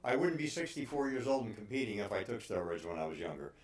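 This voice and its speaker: Halting, low-voiced man in his sixties. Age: 60s. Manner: halting, hesitant delivery with frequent pauses. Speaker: bodybuilder and personal trainer. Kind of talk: informal advice talk about his own training. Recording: roomy, echoing room sound.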